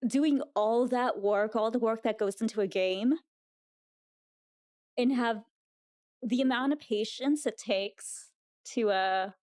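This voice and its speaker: A woman interviewing a game developer, talking in a casual, admiring way with halting restarts. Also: on the high side, darkish tone, no echo, clean studio sound.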